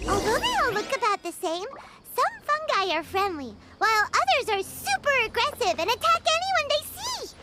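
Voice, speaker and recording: A woman voicing a tiny, excitable, childlike fairy companion in a video game, squeaky and friendly. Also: normal speed, hardly any pauses, heavy background noise. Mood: curious. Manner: bubbly, speaks of self in third person. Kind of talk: video game character acting.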